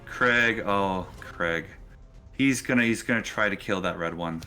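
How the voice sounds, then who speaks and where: A man runs a tabletop role-playing game, narrating the action and calling for rolls. His voice sits fairly low with a bright, forceful edge. Music plays faintly behind him. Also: a little slow. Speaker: game master.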